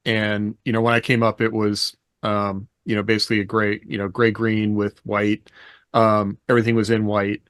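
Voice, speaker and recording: A male podcast co-host with a voice a little on the low side. He talks conversationally, recalling and explaining as he goes. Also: little pitch movement, moderate pace, a bit bright, clean tone, no room sound, studio quality. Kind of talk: casual conversational explaining and recollection.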